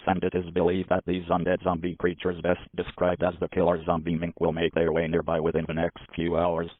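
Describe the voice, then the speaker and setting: A man's voice: low voice, no room sound. A man reading a scripted emergency broadcast message in a formal, impersonal manner, over narrow-band audio. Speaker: emergency alert announcer.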